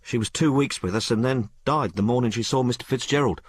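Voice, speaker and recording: A man voicing a rough, frank working-class young woman in an audiobook, blurting out her tale in colloquial, ungrammatical speech. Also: mid-range voice, a little fast, no echo, pitched above the performer's own voice, close to an everyday voice. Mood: frank, gossipy. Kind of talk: audiobook character dialogue.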